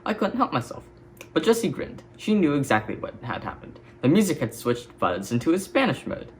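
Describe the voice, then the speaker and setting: A man's voice: fairly high pitch, sing-song, fairly quick, slight background noise. A man reading a children's story as a scripted narration. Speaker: storybook reader.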